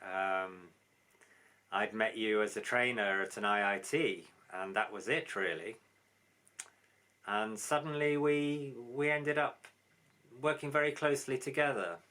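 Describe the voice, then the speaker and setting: A man's voice: fairly low pitch, unhurried, pushed and bright. A man who works as an editor and translator, talking reflectively and fluently in a conversation about a memoir. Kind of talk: conversational interview.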